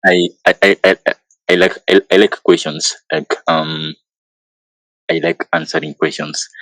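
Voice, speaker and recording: A man's voice: very deep voice, fairly even intonation, a little slow, fairly bright tone, dry recording, poor audio. A man from Kenya announcing his culture session on a group call, hesitant and repeating words again and again. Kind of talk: casual group call chat.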